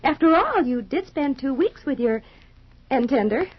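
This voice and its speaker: A woman's voice: sits high, animated, normal speed. A woman playing a nosy, chattering, easily offended married sister in a 1950s radio soap opera, folksy and fussy. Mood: coy, prying. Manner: gushing, folksy, ungrammatical, fussy. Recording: muffled vintage radio recording.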